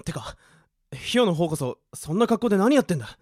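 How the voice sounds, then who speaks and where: A man's voice: sits high, big pitch swings, a little fast, a little mellow, no echo, clean studio sound. A man playing an exasperated but caring teenage schoolboy in an anime-style radio drama, brusque and easily flustered. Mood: worried, puzzled.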